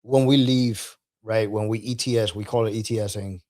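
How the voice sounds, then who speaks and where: A man's voice: lowish voice, steady tone, moderate pace, darkish tone. A man speaking as a military veteran and podcast guest, telling personal stories in a casual, conversational way.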